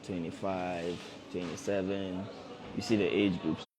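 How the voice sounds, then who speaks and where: A man in his early thirties talks to a livestream audience in a low voice, coaching them and repeating his points to drive them home. A busy crowd murmurs behind him. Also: level tone, unhurried. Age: 30s. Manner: repetitive, coaxing, addresses viewers directly. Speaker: forex trader hosting a livestream. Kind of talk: casual motivational livestream talk.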